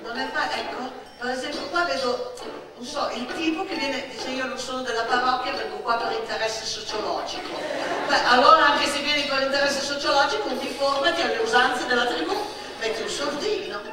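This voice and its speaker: A woman's voice: high voice, close to an everyday voice. Woman playing a pompous altar boy, very echoey. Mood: fussy, aggrieved. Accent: northern Italian. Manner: fussy, dignified, halting interjections. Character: pompous, self-important young male altar server. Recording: echoey hall, distant mic, music behind.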